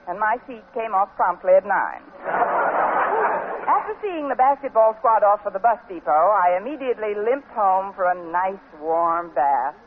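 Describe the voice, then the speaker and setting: An actress playing a wisecracking schoolteacher with a dry, sardonic delivery in a 1950s radio sitcom. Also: female voice, mid-range voice, natural voice. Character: wry, wisecracking single woman schoolteacher. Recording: tinny, noisy vintage radio recording.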